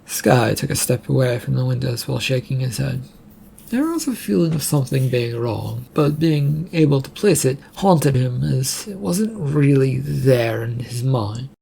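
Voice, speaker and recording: A man's voice: mid-range voice, lively intonation, measured pace, creaky, warm tone, slight background noise, low-quality recording. A man reading scripted fiction as a narrator.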